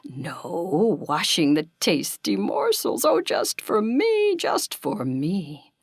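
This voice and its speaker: A woman's voice: mid-range voice, big pitch swings, slow, vocal fry, husky, no room sound, studio quality, exaggerated voice. A woman voicing a vain, hungry female flying creature from an animal storybook, exclaiming theatrically to herself. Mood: greedy wonder. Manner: theatrical, exclaiming, self-absorbed.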